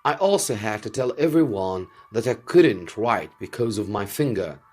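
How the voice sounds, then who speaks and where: A man narrating a story for students in a slow, deliberate and theatrical way, as a teacher reads aloud. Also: lowish voice, sing-song, darkish tone. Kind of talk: dramatised audiobook narration for students.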